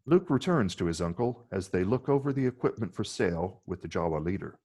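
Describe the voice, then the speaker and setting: A man's voice: deep voice, big pitch swings, brisk, darkish tone. A man narrating scripted screenplay stage directions for a table read.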